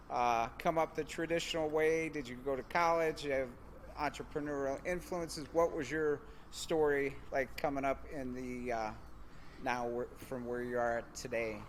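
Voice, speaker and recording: A man hosting a podcast interview, relaxed and unhurried with fillers. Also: medium pitch, a little hiss or hum. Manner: relaxed, with drawn-out phrases and fillers. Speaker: podcast host. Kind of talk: casual interview chat.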